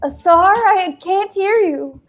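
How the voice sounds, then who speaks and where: An actress playing a hurt, dazed young female detainee speaking haltingly. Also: extremely high pitch. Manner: shaky, halting.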